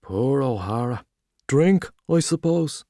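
A man voicing a boastful, hearty Irish journalist, talking loudly and expansively over drinks. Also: mid-range voice, animated, slow pace, no room sound, clean studio sound. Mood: boisterous. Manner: loud, expansive, back-slapping.

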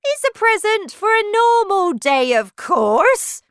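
Woman playing Humpty Dumpty, a loud, pompous talking egg. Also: very high-pitched, sing-song, dry recording, clean studio sound, pitched above the performer's own voice, full character voice. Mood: smug, excited. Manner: indignant, slow, emphatic, self-important. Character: pompous, touchy, boastful male talking egg.

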